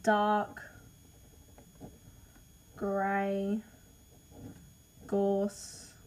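A woman's voice: medium pitch, completely flat delivery, extremely slow, a little mellow, slight background noise. A woman with an Australian accent, casually reading out name ideas in a voice message, hesitant and apologetic with lots of ums. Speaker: podcaster listing name ideas.

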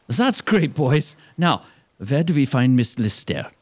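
Guy doing a pompous gullible suitor in a gothic comedy, voice jumps all over, bit husky. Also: medium pitch, big pitch swings, measured pace. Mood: eager, pleased. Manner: pompous, self-satisfied. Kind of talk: gothic comedy audio drama.

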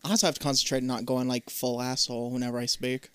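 A man's voice: mid-range voice, little pitch movement, fast talker, smooth, no room sound. A man in casual chat who speaks in a blunt, wry way and says he draws out his s sounds. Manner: blunt, wry, talks about extended s sounds.

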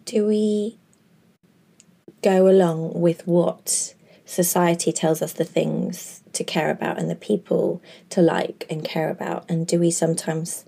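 A woman's voice: lowish voice, steady tone, a little slow, vocal fry, dark tone, breathy edge. A woman in her thirties, hosting a podcast and musing earnestly in a chatty way full of fillers. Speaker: podcast host. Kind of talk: reflective monologue.

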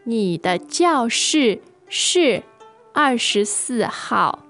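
A fairly high-voiced woman co-hosts a podcast language lesson, speaking very slowly. She models phrases with careful pronunciation, and her intonation moves a great deal. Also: very animated, fairly bright tone, soft background music. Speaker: native-speaker co-host teacher.

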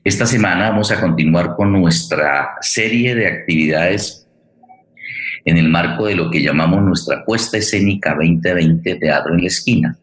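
A man, speaking formally and haltingly as he welcomes listeners and introduces an online radio theatre programme. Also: deep voice. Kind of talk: spoken introduction to a live online forum. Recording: roomy, echoing sound.

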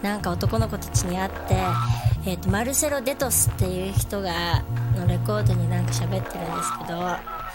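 A woman's voice: mid-range voice, fast. A woman whose first language is Japanese, rambling casually with lots of fillers in a spoken aside on a record. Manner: rambling, full of fillers, giggly. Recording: loud hip hop music behind.

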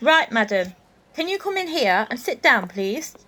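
A woman playing a store security guard in an audio drama, who speaks briskly and with authority. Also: fairly high pitch, sing-song, sharp tone, exaggerated voice. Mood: stern. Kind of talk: amateur audio drama acting.

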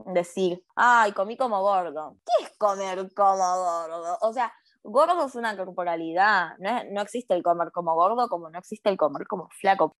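A woman's voice: mid-range voice, moderate pace. A woman in her twenties with an Argentine accent, speaking frankly and expressively in an interview. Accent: Argentine Spanish. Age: 20s. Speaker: interviewee, model and activist. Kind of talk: casual interview.

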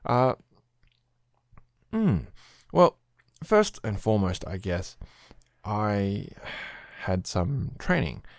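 A man's voice: on the low side, vocal fry, darkish tone, dry recording, clean studio sound. A middle-aged Australian man hosting a podcast, talking casually through a head cold in a rambling, explanatory way. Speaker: podcast host. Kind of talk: casual solo podcast talk.

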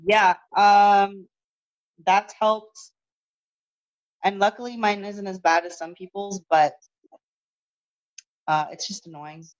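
A woman's voice: steady tone, takes it slowly, pauses often. A young woman, a competitive lifter, chatting loosely in an interview over the phone, with lots of fillers and restarts and a voice that has lost its upper register. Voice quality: lost her upper register, no longer high. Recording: muffled phone-call audio.

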